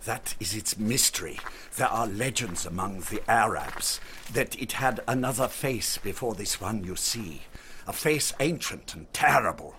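An actor playing a courteous, learned German archaeologist with a German accent, speaking like a formal storyteller in a radio drama. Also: male voice, fairly low pitch, expressive, measured pace, sharp tone, a little hiss or hum. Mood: reverent, explanatory.

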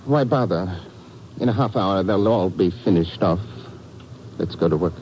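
An actor in a 1950s radio drama playing a sly, fatalistic villainous police inspector, dry, measured and formal. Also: male voice, sits low, close to an everyday voice. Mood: curt, scornful. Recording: dull, very noisy old radio recording.

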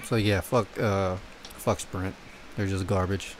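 A man's voice: deep voice, little pitch movement, measured pace, background noise. A young man co-hosting a casual podcast chat, rambling and swearing freely. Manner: rambling, profane, laid-back. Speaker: podcast co-host. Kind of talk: casual chat among friends.

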